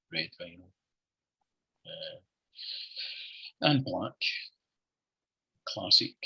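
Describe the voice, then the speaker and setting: Scottish man, husky, very bright and forward tone, easygoing chat on a call. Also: deep voice, poor audio. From Scotland. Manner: easygoing, chatty, repeats phrases. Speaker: musician guest. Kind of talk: relaxed interview chat.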